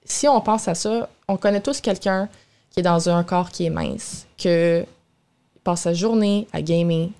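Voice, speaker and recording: Casting: young woman, Québécois accent, lowish voice, informal expert guest explaining in conversation. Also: a little slow, dark tone, clear, resonant tone. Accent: Québécois French. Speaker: guest nutritionist. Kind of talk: casual explanatory interview talk. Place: small radio studio, indoors. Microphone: boom-arm studio microphone with headphones.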